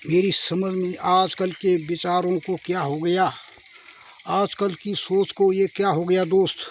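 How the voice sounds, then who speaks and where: A man's voice: high voice, flat delivery, unhurried, a little hiss or hum. A man from Garhwal speaking with a tinge, talking earnestly to his viewers as friends while outdoors in the hills. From Garhwal, Uttarakhand. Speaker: vlogger. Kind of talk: singing then casual vlog talk.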